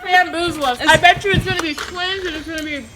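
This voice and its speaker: A woman's voice: extremely high pitch, fairly even intonation, fast talker, over a noisy crowd. A woman talking playfully and casually among friends, on slightly noisy home-video audio.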